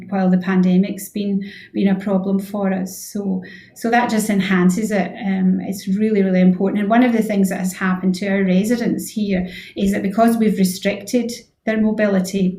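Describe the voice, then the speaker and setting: A woman's voice: medium pitch, dark tone. Woman explaining earnestly in an echoey room. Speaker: interview guest, head of physiotherapy. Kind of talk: informal radio interview, explaining health advice. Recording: roomy, echoey sound.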